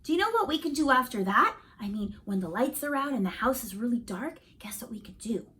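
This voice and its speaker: A woman acts out an excitable young boy in a storybook. The voice is bright and lively in pitch, relishing each word with heavy emphasis. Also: a little fast, a little hiss or hum. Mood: spooky, hushed. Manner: emphatic, listing plans with relish. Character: excitable young boy friend, scheming sleepover games. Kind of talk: storybook read-aloud.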